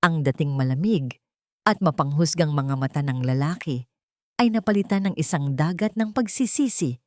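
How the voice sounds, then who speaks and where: A woman's voice: deep voice, mellow, no room sound, studio quality. A woman with a Filipino accent reading a scripted story as a dramatic audiobook narration.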